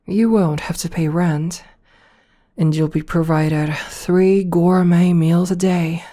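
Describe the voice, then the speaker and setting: A woman playing a rich, possessive, seductive female CEO in an ASMR roleplay, softly formal and coaxing, with a politely commanding manner. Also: fairly low pitch, fairly even intonation. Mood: confident, persuasive. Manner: slow, softly formal, coaxing with pet names.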